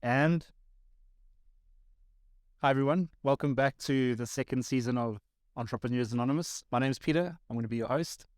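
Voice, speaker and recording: A man hosting a casual interview podcast, asking questions with quick jokes. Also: medium pitch, no echo, studio quality. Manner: friendly, quippy questioning. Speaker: podcast host.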